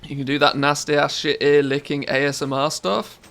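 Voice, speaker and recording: A man's voice: fairly high pitch, level tone, a little fast, vocal fry, fairly bright tone. A man with an English accent and a scratchy, harsh voice, chatting casually to his live-stream audience into a good studio microphone, rambling and correcting himself as he goes. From England. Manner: rambling, self-correcting, musing to chat. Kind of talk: casual live-stream chat while playing.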